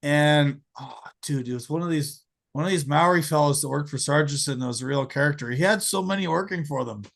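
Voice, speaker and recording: An American man in his forties hosts a relaxed podcast chat, his voice a touch higher than most men's and roughened by a gravelly edge. He tells stories in an animated way, swears freely and trips over repeated words as he goes. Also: fairly quick. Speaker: podcast host. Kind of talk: casual storytelling chat.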